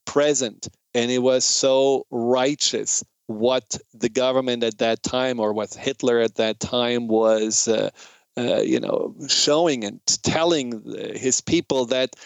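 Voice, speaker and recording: Clear-voiced German-accented man giving a talk. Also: mid-range voice, fairly even intonation, slow, dry recording. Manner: earnest, reflective, explanatory. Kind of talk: informal educational monologue.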